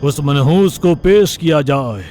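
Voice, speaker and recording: Stern jungle monarch lion, male performer, animated fable, imperious orders, soft music bed. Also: fairly high pitch, slow. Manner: imperious, authoritative.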